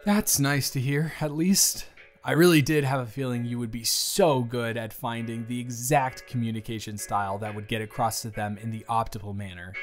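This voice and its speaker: Music plays behind a man acting as an office boss in his early thirties, his voice at an average male pitch. He speaks straight to the listener as in a romantic audio roleplay. He is earnest and encouraging, with a chatty, playfully overblown way of putting things. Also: steady tone, normal speed, close to an everyday voice. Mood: admiring, teasing. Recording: background music.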